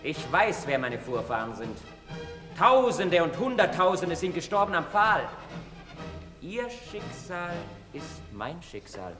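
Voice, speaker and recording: A man's voice: fairly high pitch, big pitch swings, slow pace, music in the background. An actor playing a defiant, wounded young man in a theatrical drama, declaiming with bitter resignation. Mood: defiant, resigned. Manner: declamatory, swinging from hushed to outcry. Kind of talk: theatrical radio drama acting. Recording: long hall reverb, some noise.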